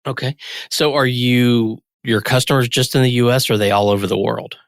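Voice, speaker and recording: A man's voice: mid-range voice, fairly even intonation, a little fast, gravelly, no echo, studio quality. A man hosting a podcast, giving introductions and putting questions to a guest. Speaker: podcast host and interviewer. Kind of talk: scripted introduction and interview questions.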